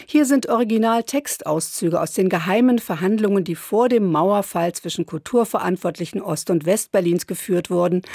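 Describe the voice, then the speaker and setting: A woman narrating a scripted radio report in a measured, clear reporting manner. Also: medium pitch, darkish tone, no room sound, studio quality.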